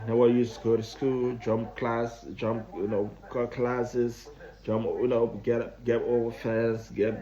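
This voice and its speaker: A man in his thirties with a Liberian accent, telling his own story in a halting way, often repeating and restarting phrases. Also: mid-range voice, creaky, dark tone. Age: 30s. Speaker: vlogger. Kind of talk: personal storytelling. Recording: dull lo-fi recording.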